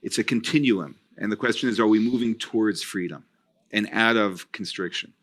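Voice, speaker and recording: A man's voice: on the low side, fairly quick, fairly bright tone. A man hosting a panel discussion, reflective and affirming. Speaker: host.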